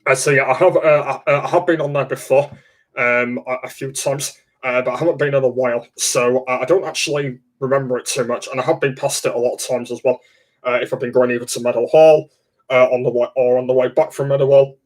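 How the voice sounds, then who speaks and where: Young guy livestreaming from his dark bedroom, flat monotone, keeps going uh uh, webcam mic. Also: medium pitch. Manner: halting, constant "uh" fillers and restarts. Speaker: livestream host. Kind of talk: casual livestream chat. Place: dark room at home, indoors.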